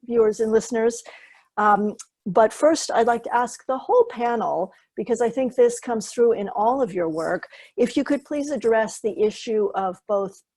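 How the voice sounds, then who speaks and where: A woman with a mid-range voice moderates a book panel over a video call. She speaks earnestly and warmly thanks the panelists. She picks her words as she goes, with an occasional uh or um. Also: medium pitch. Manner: earnest, with scattered fillers.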